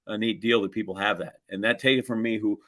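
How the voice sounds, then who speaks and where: A man's voice: lowish voice, steady tone, fast talker, a bit bright. A man, chatting casually and telling rambling, folksy anecdotes as a podcast co-host. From Wisconsin, United States.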